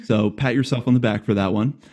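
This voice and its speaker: A man's voice: mid-range voice, brisk, darkish tone. A man hosting a podcast, reading a prepared intro and then asking rambling, friendly questions with light jokes. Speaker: podcast host. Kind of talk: scripted intro, then casual interview.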